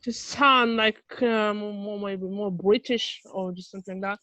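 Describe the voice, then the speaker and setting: A woman's voice: medium pitch, fairly even intonation, unhurried, bright, forceful tone, dry recording, lo-fi sound. A woman from Russia with a Russian accent, an English teacher introducing herself in an online group call, speaking hesitantly.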